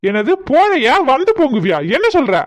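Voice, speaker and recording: A performer voicing a quick-tempered but doting father in a family comedy skit. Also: high-pitched, sing-song, very noisy. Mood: irritated. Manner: scolding, then fond cooing.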